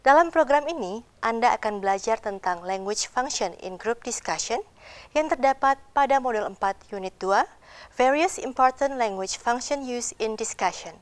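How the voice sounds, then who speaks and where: A woman narrating an educational course video in a formal, clear instructional manner. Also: on the high side, expressive, a little fast. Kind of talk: scripted narration.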